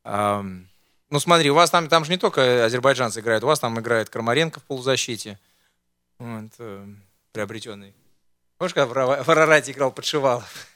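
A man's voice: medium pitch, a little fast, fairly bright tone, dry recording. A man hosting a casual football chat, rambling and teasing, with many hesitations. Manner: rambling, teasing, full of fillers. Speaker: host.

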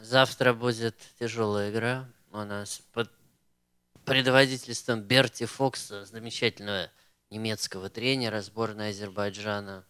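A man's voice: lowish voice, steady tone, normal speed, sharp tone, clean tone, dry recording. A man answering as a guest in a football chat, giving short, dry, joking replies. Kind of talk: casual chat about football.

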